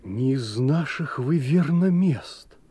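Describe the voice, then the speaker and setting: An actor playing a proud young village blacksmith who talks in an earnest, boastful way, in a radio play. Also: male voice, mid-range voice, expressive, takes it slowly, dark tone, breathy edge, no room sound. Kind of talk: 1980s radio play acting.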